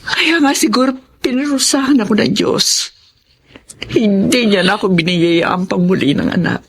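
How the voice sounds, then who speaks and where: An actress playing a middle-aged, guilt-ridden Filipino mother in a radio drama, speaking haltingly and tearfully. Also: medium pitch, husky. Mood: anguished, remorseful. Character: remorseful mother confessing to her abandoned daughter.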